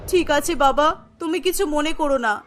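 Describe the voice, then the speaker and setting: A woman playing a poor, gentle old village woman in a cartoon, meek and resigned. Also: high-pitched, normal speed, faint music behind, lightly acted. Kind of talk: cartoon story dialogue.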